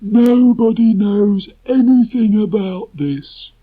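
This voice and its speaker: A gloomy old donkey from a children's storybook, pitched very high and dragging out each halting phrase. He is self-important and aggrieved, declaiming dolefully on a muffled old cassette.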